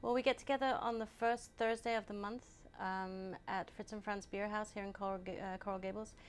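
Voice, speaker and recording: A woman born in England, answering interview questions at a steady, measured pace and often pausing on ums. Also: mid-range voice, a little hiss or hum. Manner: measured, hesitant with many ums. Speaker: guest, group founder.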